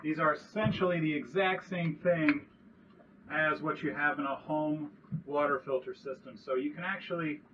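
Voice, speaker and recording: Man, 30s, higher voice, calm step-by-step how-to, tight boat cabin, camera a bit off, faint hum behind. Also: moderate pace, far from the mic, muffled recording. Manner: calm step-by-step explaining while working. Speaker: boat owner showing a repair. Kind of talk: hands-on how-to walkthrough. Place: cramped cabin of a sailboat. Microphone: action camera nearby.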